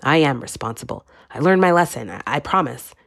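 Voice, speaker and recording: A woman's voice: very deep voice, expressive, fairly quick, vocal fry, dry recording, studio quality, heavily acted. A woman reading an audiobook, voicing a spoiled young adult son who pleads and protests defensively. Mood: pleading.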